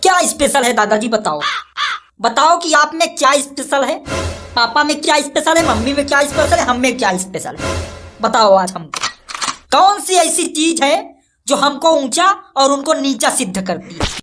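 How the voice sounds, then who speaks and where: A woman's voice: sits high, lively intonation, normal speed. A woman playing a principled young grandson in a cartoon, arguing emphatically as if giving a speech. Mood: indignant challenge. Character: principled, defiant young grown grandson, a district officer. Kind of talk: cartoon comedy-drama acting. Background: music.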